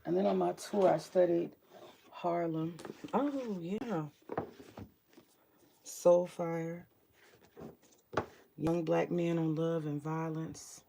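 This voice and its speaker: A woman with a deep morning voice chatting casually about her books, rambling, swearing freely and muttering to herself as she searches her shelves. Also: steady tone, slow, gravelly, pauses often, warm tone, slight background noise. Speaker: vlogger sharing her book collection. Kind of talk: casual home vlog chat.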